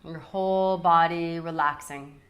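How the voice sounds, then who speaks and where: Very slow, low-pitched woman guiding meditation. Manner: calm, soothing, unhurried with long pauses. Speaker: guided meditation teacher. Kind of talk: guided yoga nidra meditation.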